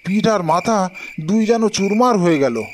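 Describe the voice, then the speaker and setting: A man's voice: high voice, animated, unhurried, a little mellow, a little hiss or hum. A man voicing a weary, deferential demon servant in a fairy-tale cartoon, with a complaining manner. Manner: complaining, servile. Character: weary, deferential demon porter carrying a princess.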